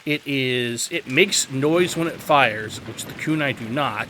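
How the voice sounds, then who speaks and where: A man's voice: mid-range voice, a little slow, bright, forceful tone, slight background noise, rough recording. A man giving a casual, instructive video game tutorial in an opinionated, emphatic way. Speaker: video game guide host.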